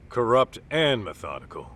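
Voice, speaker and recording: A man's voice: medium pitch, a bit bright. An actor playing an easygoing, blunt male private detective in a video game drama, talking in casual slang. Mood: wry, casual.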